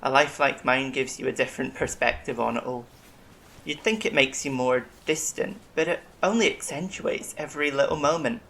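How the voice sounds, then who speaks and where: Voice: medium pitch, moderate pace, a bit bright, close to an everyday voice. An actor playing an eccentric, long-lived alien medic in an audio drama, reflective, kindly and wryly self-deprecating. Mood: wistful, wry.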